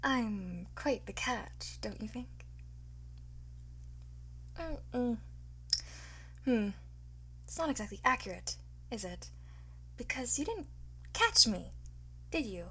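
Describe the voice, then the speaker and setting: A woman playing a cocky, flirtatious art thief in an ASMR roleplay, teasing and smug, often slipping into breathy whispers. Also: a little high, sing-song, takes it slowly, long pauses, sharp tone, full character voice. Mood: smug, taunting. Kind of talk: ASMR roleplay, one-sided acted dialogue.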